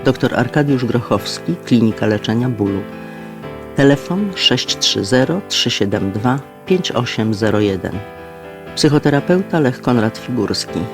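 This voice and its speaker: A man's voice: medium pitch, expressive, a little slow. A man reading scripted closing credits and sponsor notices as an announcer. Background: guitar music.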